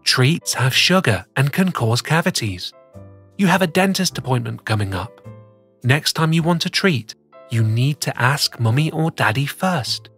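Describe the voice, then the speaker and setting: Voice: medium pitch, animated, unhurried, fairly bright tone, breathy edge, soft background music, exaggerated voice. A narrator playing a patient, firm mother kangaroo. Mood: gently stern. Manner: sighing, patient, admonishing. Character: gentle, firm mother kangaroo scolding her child.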